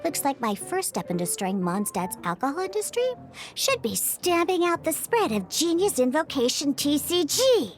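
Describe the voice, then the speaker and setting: Grumpy young catgirl, high exasperated voice, swinging pitch, game music behind. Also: big pitch swings, moderate pace. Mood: scheming. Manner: grumbling, sighing, exasperated. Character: young cat-eared bartender girl, grumpy and sassy.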